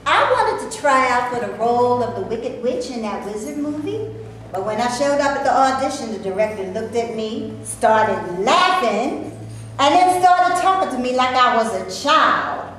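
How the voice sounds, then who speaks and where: A very echoey recording of a staged play reading. An actress plays a wry, indignant maid who dreams of acting, a woman with a fairly high voice and plenty to say. There is faint noise around her. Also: lively intonation, moderate pace, a bit bright.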